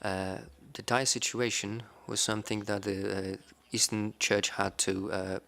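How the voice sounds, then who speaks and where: A man interpreting a conference panel into English, in an even, measured delivery with small fillers. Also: fairly low pitch. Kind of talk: simultaneous interpretation.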